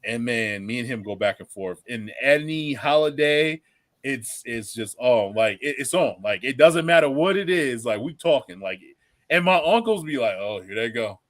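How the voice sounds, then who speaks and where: Animated American man, dry close sound. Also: mid-range voice, moderate pace, no room sound. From Philadelphia and South Jersey, US. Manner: slangy, repeats phrases for emphasis. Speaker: host of a football fan show. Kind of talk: casual sports banter.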